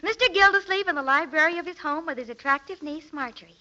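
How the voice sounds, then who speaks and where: A girlish young niece from 1940s radio comedy, playful and coaxing. The voice is very bright and quick. The vintage recording sounds dull and narrow. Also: sits high.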